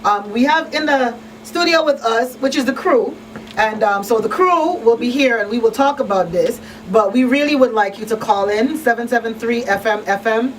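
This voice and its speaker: A woman's voice: on the high side, animated, moderate pace. A woman hosting a live radio talk show in a conversational style. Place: radio studio. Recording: slightly distant mic, faint noise.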